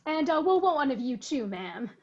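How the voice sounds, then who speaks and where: A woman playing a persistent, smooth-talking newspaper reporter in an old-time radio play. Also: sits high, big pitch swings, moderate pace, highs cut off, background noise. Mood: coaxing. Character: pushy, persistent newspaper reporter badgering a household.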